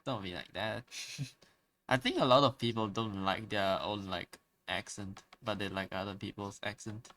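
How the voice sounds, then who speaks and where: A man's voice: on the low side, fairly even intonation, measured pace, fairly bright tone. A young man with a Malaysian English accent, a native Chinese speaker, chatting casually and rambling on stream with lots of fillers and restarts. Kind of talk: casual chat while drawing on stream.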